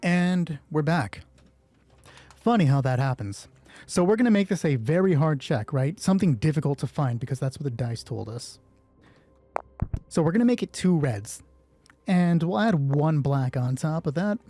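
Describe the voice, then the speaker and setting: Male solo RPG host. Dark, soft-edged, slightly rough voice; dramatic narrator who drops into casual rules talk. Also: on the high side, lively intonation, moderate pace, dry recording, faint music behind. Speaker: solo roleplay game master and host. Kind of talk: tabletop roleplay narration mixed with casual rules explanation.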